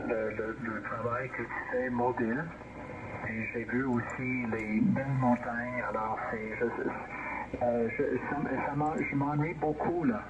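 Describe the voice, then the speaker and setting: A man chatting casually as an amateur radio operator, his voice coming through a radio transmission. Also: mid-range voice. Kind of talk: casual ham radio conversation. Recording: very noisy, dull radio transmission. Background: loud radio static noise.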